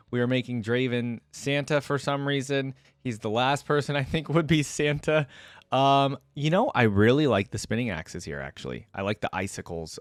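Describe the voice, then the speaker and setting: A man's voice: medium pitch, no echo. A man giving casual, off-the-cuff reaction commentary as a video host, thinking aloud in an informal, wryly bemused way.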